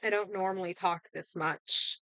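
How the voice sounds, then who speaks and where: A woman's voice: medium pitch, fairly even intonation, normal speed, pushed and bright, no room sound. A young woman with a sore throat, chatting casually and thinking aloud while playing a game. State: sore throat, losing her voice; hiccups. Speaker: gaming streamer.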